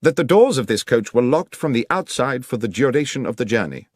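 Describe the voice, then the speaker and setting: A man voicing a commanding gentleman detective in an audiobook, with precise, formal, probing speech. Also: medium pitch, big pitch swings, a little fast, dry recording, pitched above the performer's own voice.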